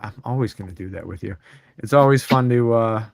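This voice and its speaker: A man co-hosting a casual podcast, talking through toy news in a relaxed, rambling, teasing way. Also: lowish voice, a little fast, gravelly, a crowd in the background. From Chicago suburbs. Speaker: podcast co-host.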